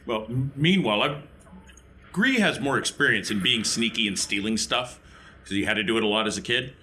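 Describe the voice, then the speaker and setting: A man's voice: mid-range voice, brisk, sharp tone, slight background noise. A man playing a tabletop roleplaying game, talking through his character's plans in casual, improvised chat.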